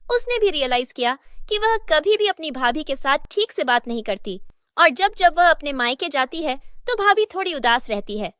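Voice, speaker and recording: High-voiced woman telling a story. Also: a bit bright, no room sound, studio quality. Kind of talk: scripted story narration.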